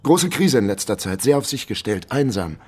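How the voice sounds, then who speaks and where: An actor playing a cheeky, bluffing amateur detective and wry narrator in a German radio crime drama, deadpan and self-assured. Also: male voice, medium pitch, moderate pace, breathy edge. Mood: bluffing, self-important.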